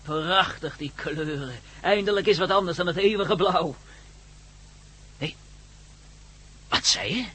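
A man's voice: sits high, slow, pauses often, pushed and bright, breathy edge, full character voice. A man playing an astonished, sceptical expedition member in a Dutch radio drama, stammering and questioning incredulously. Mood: curious.

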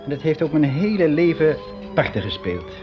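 Timid sixty-year-old clerk, muffled recording. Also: male voice, on the high side, very animated, over music. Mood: hesitant, rueful. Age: 60s. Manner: halting, confiding, colloquial, with hesitant self-corrections. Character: sixty-year-old male office employee, lonely, timid, regretful, candid. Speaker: actor performing a radio monologue. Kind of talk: conversational radio drama monologue. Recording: dull, lo-fi old radio recording.

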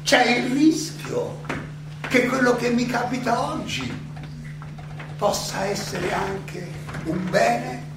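Condemned old Greek philosopher: high, creaky voice, halting rhetorical delivery, defiant but serene, distant echoey theatre-monologue recording. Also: male voice, heavily acted. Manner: deliberate, halting, rhetorical questioning. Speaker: stage actor. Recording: echoey, noisy recording from far off.

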